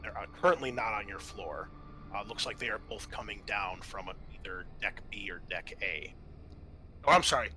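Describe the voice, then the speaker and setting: Bright-voiced man running a tabletop game. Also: lowish voice, moderate pace, soft background music. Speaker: game master. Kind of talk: narrating a tabletop roleplaying game.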